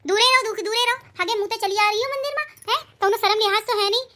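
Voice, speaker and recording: A performer voicing a scolding, sharp-tongued village sister-in-law in a comic cartoon, with a rural Uttar Pradesh accent. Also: extremely high pitch, fast talker. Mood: exasperated scolding.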